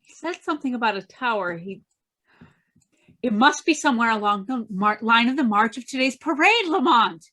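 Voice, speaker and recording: A woman's voice: fairly high pitch, lively intonation, moderate pace, pushed and bright. A woman acting an anxious, plucky detective's sidekick in an old-time radio drama, earnest and urgent. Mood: worried, urgent. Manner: urgent, questioning.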